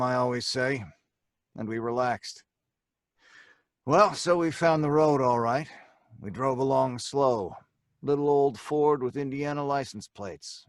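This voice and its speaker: A man's voice: medium pitch, no room sound, studio quality, natural voice. An actor playing a cocky, slangy robber and gang leader who tells his story in old time radio crime drama style. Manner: slangy, hard-boiled, colloquial.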